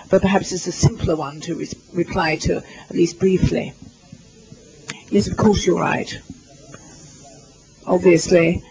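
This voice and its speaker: A man with a high voice interprets a lecture and its audience questions live. He halts often, filling pauses and restarting phrases as he keeps pace with the speakers. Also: vocal fry, a little mellow, a little hiss or hum, low-quality recording. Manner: halting, with many fillers and restarts while rendering others' words. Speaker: simultaneous interpreter. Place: lecture hall.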